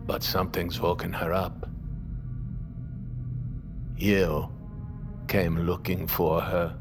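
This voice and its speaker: An actor playing a grizzled older seafarer, brooding and theatrical, who warns fervently of monsters in an audio drama. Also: male voice, low-pitched, little pitch movement. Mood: dark, foreboding. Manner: slow, brooding, theatrical storytelling that swells to intense warnings. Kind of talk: audio fiction drama acting. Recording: dramatic music behind the voice.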